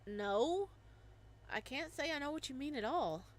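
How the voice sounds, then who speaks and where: A woman's voice: high voice, measured pace. A woman playing a dry, skeptical young florist man. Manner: skeptical, deadpan.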